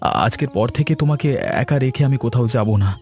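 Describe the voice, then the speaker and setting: Actor as concerned village man, mid-pitched, earnest and cautioning, cartoon dialogue, music behind. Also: fast talker, close to an everyday voice. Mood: worried, reassuring.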